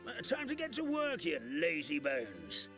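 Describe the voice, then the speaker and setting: A man's voice: on the high side, huge pitch swings, measured pace, over music. A man voicing a fussy, good-natured elderly paper-animal grandfather in a video game, with a scolding, easily flustered manner. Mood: mock stern. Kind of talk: video game character acting.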